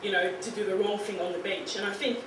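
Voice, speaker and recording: A woman's voice: mid-range voice, fairly even intonation, fast. Young australian woman, late teens, chatty and earnest, heavy echo, distant from the mic. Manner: earnest, chatty, with many "you know" and "like" fillers. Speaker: guest speaker to high school students. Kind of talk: informal talk to a student audience. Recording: very echoey room, picked up from far off, faint hiss.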